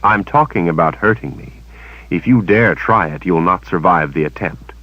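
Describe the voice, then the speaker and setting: A man's voice: low-pitched, normal speed, slightly rough, dry recording, lo-fi sound. A man voicing a young woman who speaks indignantly and defiantly. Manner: indignant, rising.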